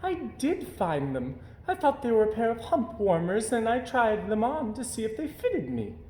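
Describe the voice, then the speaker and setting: Man as high-voiced chatty lady toy camel. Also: big pitch swings, moderate pace, darkish tone, slight background noise. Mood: apologetic, helpful. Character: Camel, helpful apologetic female toy camel. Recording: roomy, echoey indoor sound.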